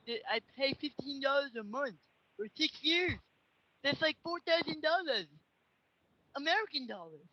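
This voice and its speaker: A woman with an extremely high, piercing bright voice speaks as a caller. She is insistent and excitable, and the phone audio is dull and lo-fi. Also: normal speed, a little hiss or hum. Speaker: caller, online game customer. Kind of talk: prank phone call. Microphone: telephone line. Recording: muffled lo-fi phone call audio.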